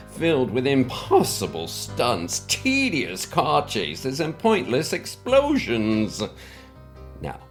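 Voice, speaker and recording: Man playing pompous mysterious benefactor, lively low voice. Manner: pompous, theatrical, wordy, with dramatic pauses. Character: mysterious pompous anonymous benefactor, male, speaking on cassette. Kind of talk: scripted comic monologue.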